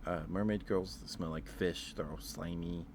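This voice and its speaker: A man chatting casually as an ASMR live streamer, in a halting, informal way. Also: low voice, fairly even intonation, noisy background. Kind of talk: casual ASMR livestream chat.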